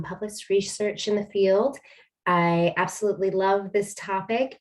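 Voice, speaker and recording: A woman introducing herself as a regional director, speaking with enthusiasm. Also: on the low side. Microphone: video call.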